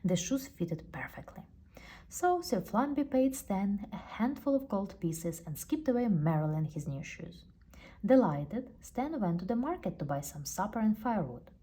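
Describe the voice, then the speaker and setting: A woman's voice: mid-range voice, very animated, moderate pace, warm tone, husky. A woman with a non-native English accent reading a children's storybook aloud expressively. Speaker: storybook reader. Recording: faint background noise.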